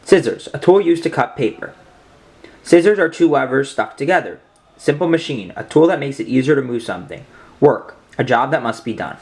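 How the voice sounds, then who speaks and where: A man's voice: medium pitch, expressive, fairly quick, a little mellow, husky. A young man reading aloud and explaining simple science for children as a host.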